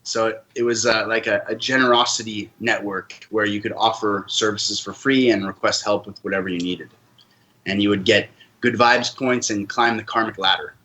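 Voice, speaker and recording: A man's voice: fairly low pitch, steady tone, normal speed, sharp tone, poor audio. A man who grew up in Canada, a podcast guest telling his own story in an earnest, open way. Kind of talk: casual interview talk.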